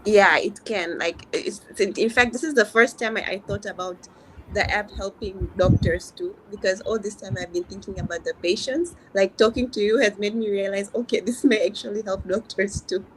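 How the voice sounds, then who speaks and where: Tanzanian woman, hesitant and halting. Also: mid-range voice, background noise. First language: Swahili. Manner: hesitant, restarts words, slows on key points. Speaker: doctor pitching a health app. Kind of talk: video call mentoring session.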